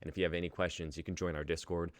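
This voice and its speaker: A man's voice: sits low, flat delivery, rapid-fire, dry recording. A young man hosting a live reading stream, wrapping up in casual chat, laughing and trailing off with fillers. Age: young adult.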